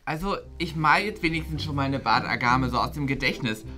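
Eine Frau spielt in einem Schulsketch einen quengeligen, ernsthaften und bockigen Schuljungen, der ständig protestiert. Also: female voice, rumbling low, animated, brisk, over music. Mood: stubborn, plaintive. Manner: plaintive, protesting. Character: whiny, stubborn, earnest nerdy schoolboy named Bibo. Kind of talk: improvised school sketch acting.

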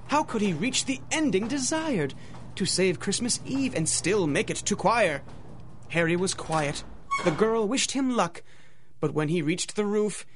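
A woman's voice: mid-range voice, slight background noise. A woman narrating a scripted rhymed adventure story, with a dramatic, singsong rhythm.

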